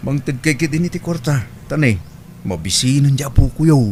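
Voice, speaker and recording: A man's voice: medium pitch, lively intonation, brisk, darkish tone, a little hiss or hum, natural voice. A man playing a wheedling, pleading relative after money in an Ilocano comedy drama. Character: wheedling, sponging male relative begging for money.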